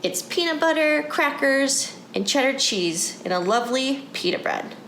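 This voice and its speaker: A woman's voice: high voice, animated, normal speed, fairly bright tone, voice pushed up. A woman voicing a proud, unbothered young schoolgirl in a storybook, cheerful and insistent as she explains. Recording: roomy echo, background noise.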